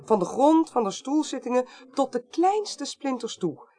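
Brisk, commanding senior official, lively intonation. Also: female voice, sits high. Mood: polite, businesslike. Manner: formal, decisive, dryly wry. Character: brisk, commanding senior female parliamentary clerk investigating. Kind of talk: 1980s Dutch radio drama acting.

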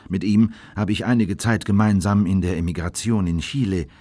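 An actor playing a grave official who recounts a manhunt in measured, formal radio drama narration. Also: male voice, low-pitched, steady tone, warm tone, dry recording. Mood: matter-of-fact. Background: music.

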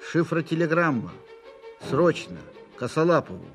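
Urgent army telegram reader, highish voice, official, radio play with music behind. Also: male voice, very animated, moderate pace. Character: urgent male military announcer reading a coded telegram. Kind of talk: dramatic dispatch reading in radio drama.

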